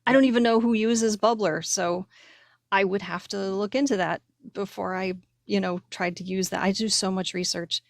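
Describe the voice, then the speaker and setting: A woman's voice: mid-range voice, fairly even intonation, normal speed, dry recording, studio quality. A woman co-hosting a casual chat, informal and thinking aloud in questions to herself. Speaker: podcast host and author. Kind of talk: casual chat about writing.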